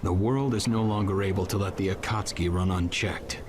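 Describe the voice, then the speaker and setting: A man voicing a calm, weary adult ninja teacher in a game cutscene, low-key and thoughtful. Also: a little fast, close to an everyday voice. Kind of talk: game cutscene English dub.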